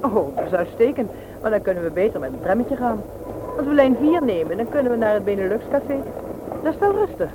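Brisk, bossy woman, noisy recording. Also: on the high side, very animated. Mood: chatty, practical. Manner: forward, businesslike, probing. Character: brisk, bossy, practical single woman sizing up a suitor. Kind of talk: Dutch radio play acting. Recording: noisy old radio recording.

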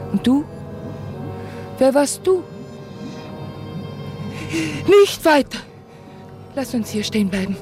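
An actress playing an enigmatic, fearful, visionary woman in a literary radio drama, dreamy and halting, full of questions. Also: medium pitch, close to an everyday voice. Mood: dreamy, wondering, then fearful, agitated.

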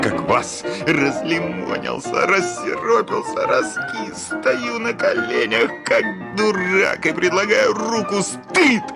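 An actor playing a hot-tempered, lovestruck landowner in a theatrical radio play, blustering and breaking into exclamations. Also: male voice, a little high, exaggerated voice. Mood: lovestruck, exasperated. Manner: blustering, halting then bursting into exclamations. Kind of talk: theatrical Russian classic radio play acting. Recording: studio radio drama with loud music.

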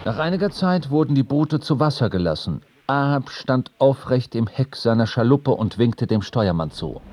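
A man narrating a literary radio drama in a measured, grave storytelling manner. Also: mid-range voice, lively intonation, a little mellow, slight background noise.

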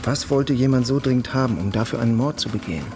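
An actor playing a matter-of-fact police detective questioning people methodically in a radio crime drama. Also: male voice, mid-range voice, quick pace, darkish tone, close to an everyday voice. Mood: probing.

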